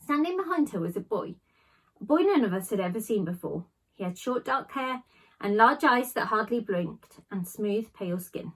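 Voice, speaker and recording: A woman reads a children's novel aloud like a teacher to a class, stressing words and pausing for drama. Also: medium pitch, lively intonation, husky, low-quality recording.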